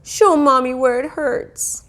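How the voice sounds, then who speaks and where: A woman voicing an emotional storybook mother who is doting yet quick-tempered, with a dramatic, fussing manner. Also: high-pitched, a bit bright, pitched above the performer's own voice. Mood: doting, anguished.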